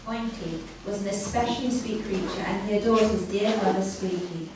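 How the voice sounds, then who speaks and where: A woman performing a scripted comic story live on stage, with a deliberate mock bedtime-story delivery. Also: mid-range voice. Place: live comedy venue, indoors. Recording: very echoey room, distant mic, faint noise.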